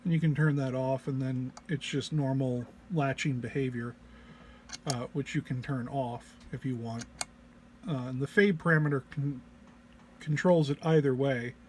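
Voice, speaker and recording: A man giving an informal technical walk-through as a presenter, sounding low-energy and under the weather, with a hesitant, halting delivery. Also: fairly even intonation. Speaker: presenter demonstrating an audio effects patch. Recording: clear background noise, single mono microphone.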